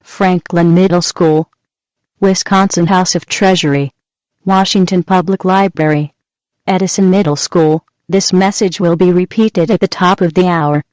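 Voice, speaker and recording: A woman's voice: fairly low pitch, fairly even intonation, unhurried, a little mellow, no room sound, close to an everyday voice. A woman playing an impersonal, composed public-address announcer reading formal scripted notices in a detached manner.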